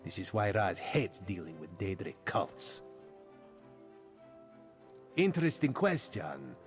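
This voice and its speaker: A wily feline spy in a fantasy game, with music underneath. The delivery is theatrical and wry, swooping expressively in pitch as he speaks of himself in the third person. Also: big pitch swings, takes it slowly, full character voice. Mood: wry, exasperated. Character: wily male cat-man spy, wry, loyal, dramatic. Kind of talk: video game character dialogue.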